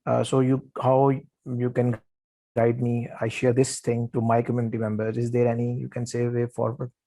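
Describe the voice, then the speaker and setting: A man, an Urdu speaker, asking halting questions on a video call. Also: medium pitch, completely flat delivery, dark tone, rough recording. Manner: halting, keeps inserting "you can say". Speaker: webinar participant asking questions.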